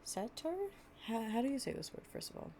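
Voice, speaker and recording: A woman's voice: on the high side, sing-song, fairly quick, creaky, warm tone, a little hiss or hum. A woman performing a storytime audio roleplay, reading fables and chatting informally and playfully. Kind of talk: gentle storytime reading with improvised casual chat.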